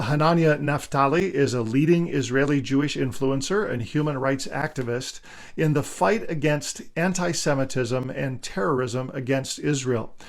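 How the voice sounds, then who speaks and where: A man's voice: mid-range voice, gravelly. A man hosting a podcast, introducing and questioning his guest in a friendly, upbeat way. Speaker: podcast host. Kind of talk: podcast interview.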